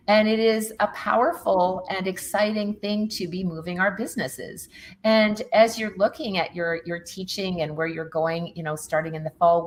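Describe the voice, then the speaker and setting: A woman's voice: mid-range voice, a little hiss or hum. A woman from Canada hosting a casual interview, upbeat and enthusiastic as she promotes her event. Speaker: host.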